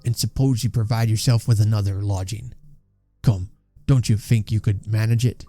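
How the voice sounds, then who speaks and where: A man voicing a stout, bullying landlord in a story, softly menacing, sarcastic and scolding. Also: lowish voice, steady tone, moderate pace, dry recording, soft background music, natural voice. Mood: soft sarcasm. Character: smug, bullying stout landlord feigning soft politeness.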